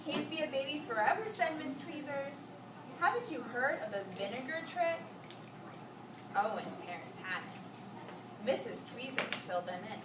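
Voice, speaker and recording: A woman reading a storybook aloud to children in an expressive way, pausing to ask questions and echo their answers. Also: sits high. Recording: echoey, noisy room, distant mic.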